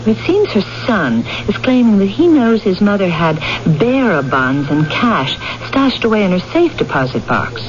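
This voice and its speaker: An actress playing a keen, methodical police sergeant in a 1970s radio crime drama, businesslike in delivery. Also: female voice, mid-range voice, sing-song, moderate pace, hardly any pauses, natural voice. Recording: muffled old radio, faint noise.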